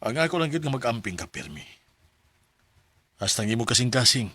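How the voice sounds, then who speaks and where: A gentle Cebuano father. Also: mid-range voice, very animated, fairly quick, breathy edge, exaggerated voice. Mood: tender, resigned. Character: gentle, accepting father of a grown daughter. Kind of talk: radio drama acting.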